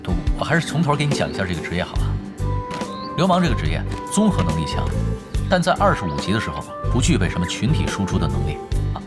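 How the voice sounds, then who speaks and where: An actor playing an easygoing young male expert gamer in an audio drama, talking in a casual, gently teasing way. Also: sits low, huge pitch swings, fast talker, over loud music, exaggerated voice. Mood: patient, explaining. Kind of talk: Chinese audio drama acting.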